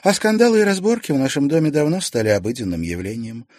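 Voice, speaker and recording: A man voicing a subdued young woman who speaks quietly and matter-of-factly. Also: medium pitch, animated, fast talker, no echo, studio quality, lightly acted.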